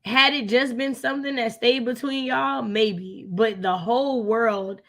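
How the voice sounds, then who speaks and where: American woman, fairly high voice, bright and forceful, casual opinionated book chat, emphatic repetition. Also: steady tone, measured pace. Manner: emphatic, conversational, repeats phrases for emphasis. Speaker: co-host of a book readalong live discussion.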